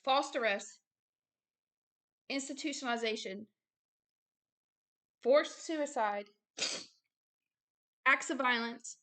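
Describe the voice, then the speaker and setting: High-voiced woman, emphatic religious commentator. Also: slow pace, long pauses, bright, forceful tone. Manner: emphatic, repeats phrases for stress. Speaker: host, self-described prophet reading a book aloud with commentary. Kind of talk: religious commentary mixed with reading aloud.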